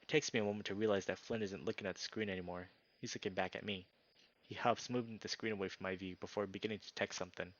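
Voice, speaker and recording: Low-voiced man streaming, dull mic. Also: fairly even intonation, fast. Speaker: streamer reading a visual novel aloud. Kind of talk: narration with chat asides.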